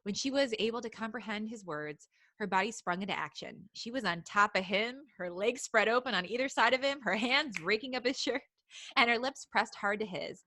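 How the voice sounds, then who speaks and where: A woman's voice: fairly high pitch, brisk, pushed and bright, dry recording. A woman hosting a live podcast, reading steamy fan fiction aloud and breaking into giggles. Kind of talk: live comedic reading and banter.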